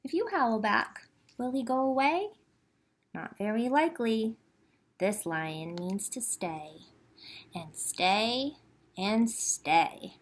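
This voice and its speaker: A woman hosting a children's library storytime, speaking in simple, expressive phrases and addressing young listeners directly as she reads and chats. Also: fairly high pitch, slow pace.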